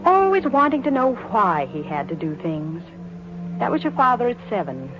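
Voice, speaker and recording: A woman's voice: medium pitch, sing-song, normal speed, natural voice. An actress playing an earnest, inquisitive woman who narrates and acts in a 1940s radio drama. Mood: reflective. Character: earnest, inquisitive woman recalling her youthful courtship. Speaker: narrator and leading lady. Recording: muffled 1940s radio recording, music.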